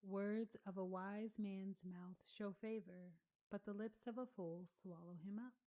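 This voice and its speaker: A woman giving a slow, measured read-along of scripture, pausing between phrases. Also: mid-range voice, fairly even intonation, warm tone, rough recording. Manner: measured, deliberate reading with pauses. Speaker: scripture reader.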